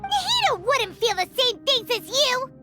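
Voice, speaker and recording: A woman playing a tiny, excitable fairy girl in an anime-style video game, squeaky and exclamatory. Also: measured pace, full character voice. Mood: indignant. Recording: very loud music under voice.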